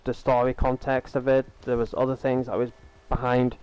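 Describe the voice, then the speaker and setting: A man's voice: mid-range voice, monotone, moderate pace, a little mellow, clear, resonant tone, highs cut off. A young man casually chatting with his live-stream audience while he plays, earnest and rambling, often repeating himself over a gaming headset at home. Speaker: game streamer.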